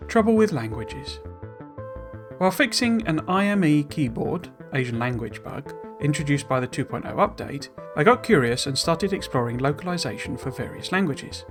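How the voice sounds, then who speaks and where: A man's voice: a little high, expressive, normal speed, over music. A man hosting a stream who reads a game developer's blog post aloud and then explains it casually. His asides are loose and hesitant, full of "you know" and restarts, and he is self-deprecating about pronouncing foreign words.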